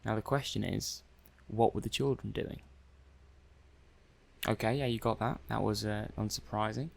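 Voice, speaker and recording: A man playing a hesitant, curious robot technician in an ASMR roleplay, halting and musing aloud. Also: on the low side, fairly even intonation, creaky. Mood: matter-of-fact. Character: hesitant, curious male robot technician running software tests. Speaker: audio roleplay performer. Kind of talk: soft-paced ASMR roleplay monologue.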